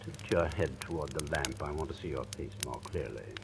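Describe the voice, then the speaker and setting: An actor playing an imperious, sardonic and menacing writer in a 1940s style radio drama. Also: male voice, a bass voice, a little fast. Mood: menacing calm. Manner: imperious, commanding, volatile. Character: domineering, sardonic writer, rumoured wife-killer, menacing. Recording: noisy vintage radio recording with clicks.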